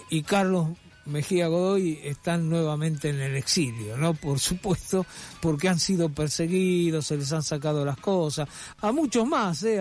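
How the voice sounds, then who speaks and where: A man's voice: a little high, darkish tone. Man talking over faint music. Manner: rambling, many fillers and restarts. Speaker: radio host. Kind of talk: casual radio commentary.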